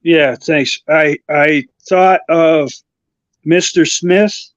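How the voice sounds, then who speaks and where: A man with a faint Chicago accent and a fairly high voice, chatting as a guest. He stops and starts, filling gaps with uhs and restarting his sentences. The audio is muffled and dry, like a remote call. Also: steady tone, no echo. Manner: halting, full of uhs and restarts. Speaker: guest co-host. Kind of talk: casual conversation.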